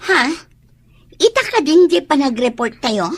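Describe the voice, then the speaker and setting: A woman's voice: sits high, big pitch swings, unhurried. A woman playing a casual, forgetful young student in an Ilocano radio drama. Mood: surprised.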